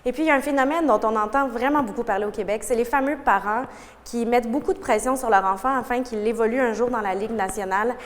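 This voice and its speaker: Quick-talking woman interviewer. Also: on the high side. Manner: brisk and prepared questioning. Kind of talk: interview questions. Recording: reverberant room with faint noise.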